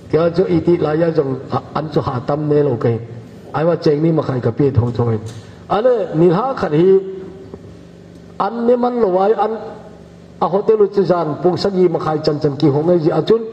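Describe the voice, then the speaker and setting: An older man from northeast India preaching a measured, unhurried sermon as pastor in a church hall. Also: a little high, expressive. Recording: echoey church hall, dull lo-fi sound, faint hiss.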